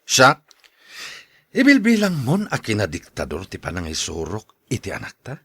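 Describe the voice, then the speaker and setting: A man with a Filipino Ilocano accent playing a domineering, angry middle-aged father in a radio serial, gruff and scolding. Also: on the low side, huge pitch swings, moderate pace, a bit bright, dry recording, full character voice. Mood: gruff, displeased. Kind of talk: radio serial drama acting.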